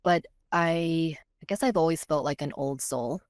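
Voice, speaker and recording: A woman's voice: sits low, normal speed, dry recording, clean studio sound. A woman in her thirties, born in Korea with Korean as her first language and raised in California, talks reflectively as a podcast guest, searching for words as she goes. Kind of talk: casual chat.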